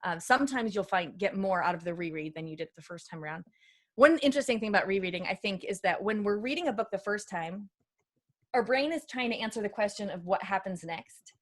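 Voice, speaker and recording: Woman, guest expert, mid-range pitch, informal, conversational advice, illustrative imagined quotes. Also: animated, brisk. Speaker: guest expert on reading aloud with children. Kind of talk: conversational advice in a panel discussion.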